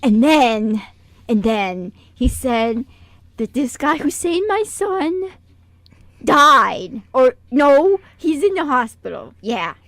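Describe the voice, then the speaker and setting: A young woman with a high, very lively voice that moves up and down a lot. She chats casually as a show host, joking and acting out small bits. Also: takes it slowly, no echo. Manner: jokey, improvises little skits. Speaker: radio show host and voice actor. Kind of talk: casual chat.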